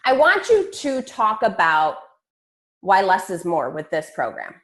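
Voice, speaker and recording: A woman's voice: medium pitch, huge pitch swings, unhurried, a bit bright. A woman hosting a team call as a fitness coach, talking casually and informally with plenty of slang. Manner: chatty, informal, peppered with slang and swearing. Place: online group call.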